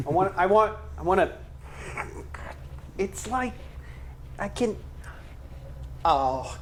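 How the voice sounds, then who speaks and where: A player in a tabletop game, talking playfully in character and haltingly repeating words. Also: medium pitch, animated, slight background noise.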